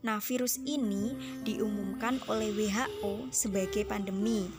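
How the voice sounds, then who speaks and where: A woman's voice: mid-range voice, steady tone, a little slow. A young woman, a student narrating a scripted explainer and addressing viewers like friends. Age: young adult. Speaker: university student presenter. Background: loud music under the voice.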